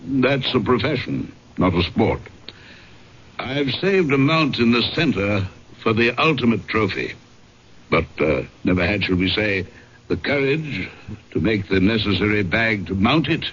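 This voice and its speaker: An actor playing a huge big-game hunter, gentlemanly and deliberate, in an old-time radio drama. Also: male voice, on the low side, lively intonation. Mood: wry, brooding. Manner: gentlemanly, deliberate, pausing for effect. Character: huge bearded English big-game hunter, vital, genial host. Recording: dull, faintly noisy old radio recording.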